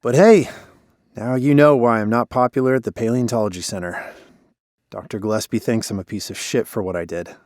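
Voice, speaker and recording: Washed-up male radio DJ for horror audio fiction, played by a man: fairly low, dry sound, wry and tired, natural delivery. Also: a little fast, darkish tone, dry recording, lightly acted. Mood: defensive, weary. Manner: sardonic, conversational. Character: broken-down male radio DJ, wry, weary, self-deprecating. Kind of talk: naturalistic audio drama dialogue.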